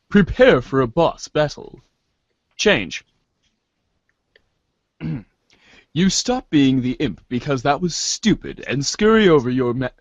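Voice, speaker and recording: Casting: male amateur narrator, mock-grave and commanding, unhurried with big pitch swings, slightly muffled recording. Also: no room sound. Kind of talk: dramatic amateur reading of webcomic narration.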